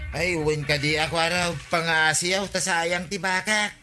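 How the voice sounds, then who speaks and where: A man's voice: on the high side, steady tone, measured pace, bright, forceful tone, faint music behind. An actor with an Ilocano accent playing a worried village man searching for his lost cow in a radio comedy drama. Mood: anxious.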